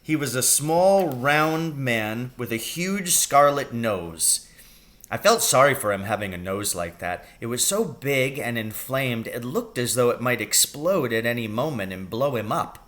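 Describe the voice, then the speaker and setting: A man's voice: mid-range voice, big pitch swings, unhurried, fairly bright tone. Man reading a storybook expressively. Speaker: teacher reading a book aloud.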